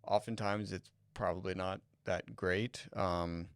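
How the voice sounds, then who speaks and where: Deep, smooth man with gravelly fry. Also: unhurried, no room sound, clean studio sound. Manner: relaxed, thoughtful, hedging. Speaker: podcast co-host. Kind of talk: casual chat.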